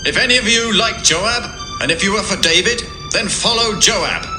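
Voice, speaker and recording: An actor playing a firm, commanding soldier of ancient Israel, speaking emphatically in a dramatised audio Bible. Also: male voice, high voice, animated, moderate pace. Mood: rallying shout. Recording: echoey, music bed behind.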